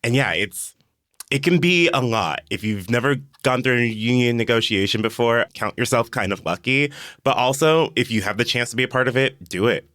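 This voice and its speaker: A man's voice: medium pitch, normal speed, a bit bright, no echo, studio quality. A man chatting casually as a guest on a podcast panel. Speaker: podcast guest, newsroom coordinator.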